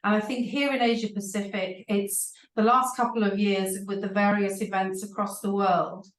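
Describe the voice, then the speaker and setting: A woman executive speaking as a panel guest, explaining her company's approach fluently with the odd "um". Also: medium pitch. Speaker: chief people officer, panel guest. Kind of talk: conversational panel talk. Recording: echoey room, distant mic.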